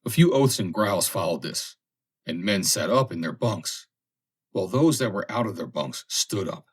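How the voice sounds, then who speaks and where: Low husky guy narrating an audiobook, dramatic, pitch moves around a lot. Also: lively intonation, moderate pace. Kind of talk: dramatic scripted narration of a story.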